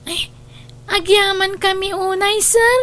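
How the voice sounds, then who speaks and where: A woman acting a high-voiced pleading young girl. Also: fairly even intonation, slow, sharp tone, heavily acted. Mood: grateful. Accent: Ilocano. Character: poor pleading young girl, dutiful elder sister. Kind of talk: Ilocano audio drama acting.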